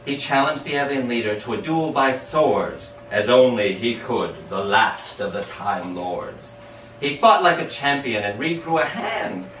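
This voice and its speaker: A man reads storytelling verse aloud in a theatrical, sing-song manner, his pitch moving up and down dramatically. He sounds some way off from the microphone, with the echo of the room around his voice. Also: a little slow, faint music behind. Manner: expressive, dramatic, sing-song rhyming delivery. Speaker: amateur poet reading their own poem. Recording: echoey room, distant mic, background hiss.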